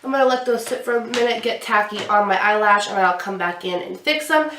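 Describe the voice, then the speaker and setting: A woman's voice: mid-range voice, fast talker, fairly bright tone, a little hiss or hum. Chatty young woman vlogger w a stuffy cold nose, echoey room, kinda distant mic. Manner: chatty, explaining each step while applying makeup. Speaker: beauty vlogger. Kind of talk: casual makeup tutorial. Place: small indoor room with a glittery backdrop. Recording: roomy sound, somewhat far from the mic.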